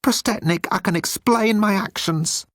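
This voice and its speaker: Squeaky, anxious young male alien. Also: measured pace, no echo, studio quality. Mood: nervous, earnest. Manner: pleading, flustered. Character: timid young male alien officer, anxious, pleading son. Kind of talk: audiobook character acting.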